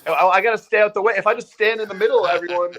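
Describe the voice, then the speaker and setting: A man speaks as a guest in a casual chat. His voice sits a little higher than average for a man, and he talks in a rambling, anecdotal style. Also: fairly even intonation, rapid-fire, fairly bright tone, muffled recording. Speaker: podcast guest.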